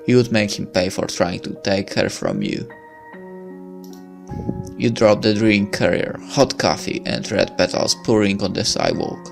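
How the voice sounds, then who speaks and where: A man's voice: on the low side, sing-song, a little slow, creaky, soft background music. A man reading a visual novel aloud as narration, breaking into casual commentary as a let's player.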